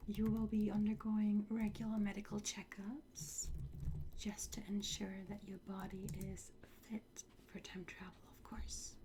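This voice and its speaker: A woman whispering softly and breathily in an ASMR roleplay, playing a courteous, instructive fitting attendant. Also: mid-range voice, level tone, unhurried, heavy background noise. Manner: soft, polite, instructive. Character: courteous attendant at a time travel suit fitting.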